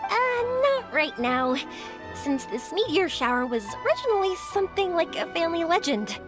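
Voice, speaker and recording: A young, adventurous firework maker from a video game. The voice is high and swings animatedly, friendly, chatty and full of enthusiasm, with faint music underneath. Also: female voice, big pitch swings, moderate pace. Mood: earnest, curious.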